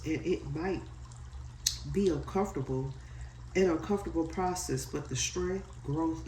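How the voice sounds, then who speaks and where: Congested stuffy voice, mellow tone, rambling casual tarot reading, roomy echo, distant mic. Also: mid-range voice, a little hiss or hum. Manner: apologetic, chatty, loose and rambling. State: stuffy-nosed from a head cold. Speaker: tarot card reader. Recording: echoey room, set back from the mic.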